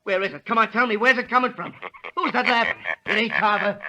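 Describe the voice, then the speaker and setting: Sharp-voiced thuggish rural foreman. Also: sits high, quick pace, a little hiss or hum, exaggerated voice. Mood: panicky. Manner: rough, slangy, blustering. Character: thuggish rural foreman, tough, surly, easily spooked villain. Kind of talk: 1930s-40s radio drama acting.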